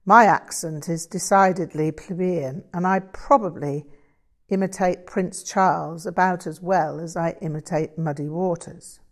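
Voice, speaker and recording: A woman's voice: on the low side, steady tone, measured pace. Woman acting modest, wry English male musician. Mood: self-deprecating. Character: Vic, self-deprecating English bluesman and painter, wry, thoughtful.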